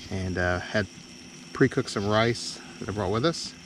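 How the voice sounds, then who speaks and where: A man talking casually and haltingly as he hosts an outdoor camping vlog, with a laid-back, folksy manner. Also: fairly low pitch. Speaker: vlog host. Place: outdoors at a state park and campsite. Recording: noisy outdoor recording with insects.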